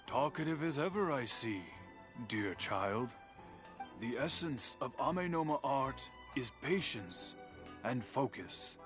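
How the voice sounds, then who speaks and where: Stern elderly mentor, formal measured phrasing, animated intonation, anime game, music clearly behind. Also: male voice, mid-range voice. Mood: gently scolding. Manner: measured, formal, gently admonishing. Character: stern, dignified old male master swordsmith. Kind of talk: anime-style video game voice acting.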